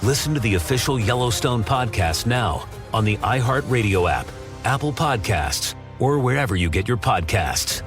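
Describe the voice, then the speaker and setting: A male announcer reads scripted trailer narration in a medium-pitched voice. Music plays clearly behind him. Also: animated, moderate pace. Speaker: promo announcer.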